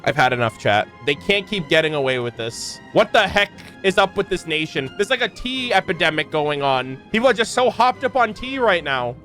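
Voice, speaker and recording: A man streaming casual reaction commentary to his chat, enthusiastic and expressive, with plenty of slang. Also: on the high side, normal speed, hardly any pauses, soft background music. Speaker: streamer reacting to game voice lines.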